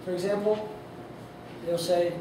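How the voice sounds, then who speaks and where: A man with a high voice teaches a casual lesson, talking in a loose, rambling way full of fillers. His voice is strained, as if he is losing it. He sounds far from the mic, in a very echoey hall with a faint hiss behind him. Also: steady tone, a little slow, dark tone. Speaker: instructor on Quran recitation. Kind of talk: informal lesson with sung demonstrations.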